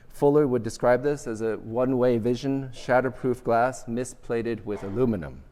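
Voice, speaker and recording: A middle-aged man whose first language is Vietnamese, giving a measured, thoughtful architecture lecture with frequent restarts. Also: medium pitch, darkish tone. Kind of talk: academic lecture with slides. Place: lecture hall. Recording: long reverb of a lecture hall.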